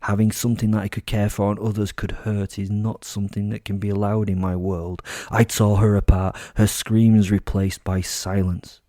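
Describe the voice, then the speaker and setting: Man voicing a low, furious, brooding werewolf. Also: flat delivery, normal speed, few pauses, warm tone, no room sound, studio quality, close to an everyday voice. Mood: menacing fury. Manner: menacing, dramatic, emphatic. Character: savage male werewolf, brooding and furious, refusing to care.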